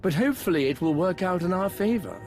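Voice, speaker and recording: An actor playing an eager, fretful, well-mannered scholar in a video game, speaking in wordy, bookish phrases. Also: male voice, high-pitched, lively intonation, fast talker, darkish tone, faint music behind. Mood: bemused, anxious. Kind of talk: video game cutscene acting.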